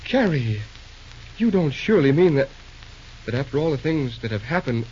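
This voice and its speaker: An actor playing an earnest, awkward, plainspoken young railroad man in old-time radio drama, halting and sheepish, on an old recording. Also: mid-range voice, sing-song, a little mellow, dull audio, slight background noise, lightly acted. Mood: baffled, flustered.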